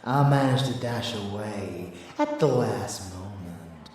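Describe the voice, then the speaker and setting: Casting: male actor as menacing yet doting vampire boyfriend, teasing ASMR delivery, very unhurried, heard in a very roomy space. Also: lowish voice, a little mellow, distant mic. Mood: boastful recounting. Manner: slow, teasing, boastful, doting. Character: rebellious, devoted male vampire lover with menace. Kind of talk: intimate ASMR audio roleplay.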